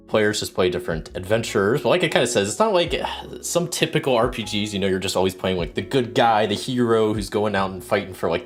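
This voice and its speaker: Man, very low voice, sore throat, rambling casual stream talk, lots of ums, faint background music. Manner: rambling, many ums and restarts, greets chat viewers. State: sick with sore throat, starting to lose his voice. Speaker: solo RPG streamer. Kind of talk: casual livestream chat explaining game rules.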